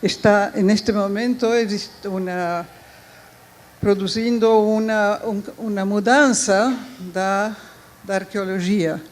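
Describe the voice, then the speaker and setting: An older woman giving a speech at a public event, halting and searching for words. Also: medium pitch, fairly even intonation.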